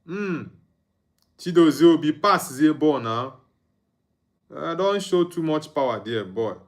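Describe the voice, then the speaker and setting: Slow-talking young man streaming a football watchalong. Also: a little high, lively intonation. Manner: reads viewer comments aloud, chuckles, hums and sings under his breath. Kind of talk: casual live match commentary and chat reading.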